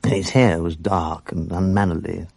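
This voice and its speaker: Dry, gravelly, low English narrator. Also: male voice, flat delivery, darkish tone, no echo, heavily acted. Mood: wistful, reflective. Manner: measured, articulate, reflective. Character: reflective grown man recalling his English boarding-school days. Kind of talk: literary first-person drama narration.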